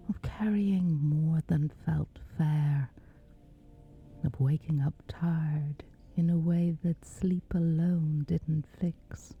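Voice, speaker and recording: A woman guiding a scripted sleep meditation in a breathy, whispery, hushed and soothing voice, leaving long pauses. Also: low-pitched. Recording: slightly dull, soft music behind. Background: music.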